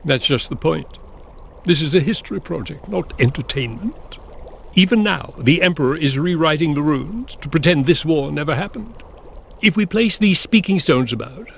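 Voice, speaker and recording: Serious lecturing game chronicler, gravelly, a bit high, faint noise behind. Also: male voice, expressive, moderate pace. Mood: stern, explanatory. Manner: didactic, serious. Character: earnest, stern fantasy chronicler of troubled times. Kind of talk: video game voice acting.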